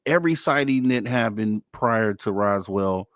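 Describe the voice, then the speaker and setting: A man's voice: fairly low pitch, expressive, a little slow, dry recording, clean studio sound. A man hosting a live radio talk show in a casual, informal, effusive way.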